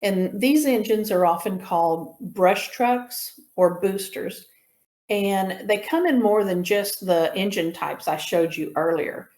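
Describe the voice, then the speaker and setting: Slow-paced woman lecturing in an echoey room. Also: medium pitch, a little mellow. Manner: measured, explanatory, with pauses mid-sentence. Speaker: instructor. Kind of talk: informal lecture presentation with slides. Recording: roomy echo on the voice.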